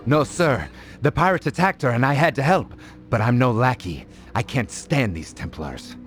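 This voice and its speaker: An actor playing an eager resistance foot soldier in a video game, earnest and animated in delivery. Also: male voice, mid-range voice, moderate pace, close to an everyday voice. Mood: earnest, deferential. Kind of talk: video game cutscene voice acting. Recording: faint music behind the dialogue.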